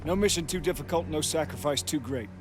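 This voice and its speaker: A man's voice: on the high side, brisk, over music, close to an everyday voice. An actor playing an authoritative army lieutenant in a war game, giving clipped orders to his squad. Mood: commanding.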